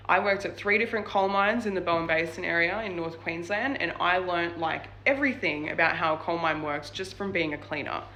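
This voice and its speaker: A woman's voice: on the low side, bright, forceful tone, a little hiss or hum. A young Australian woman from New South Wales giving casual advice in a vlog, chatty and full of fillers like "like" and "um". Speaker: vlogger.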